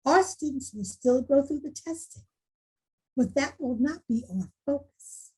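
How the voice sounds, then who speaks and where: Woman playing an earnest, high-voiced, animated school principal. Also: unhurried, rough recording. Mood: determined, rousing. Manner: declarative, rousing speech-making. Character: earnest, determined female school principal rallying staff and students. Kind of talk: virtual table read of a screenplay.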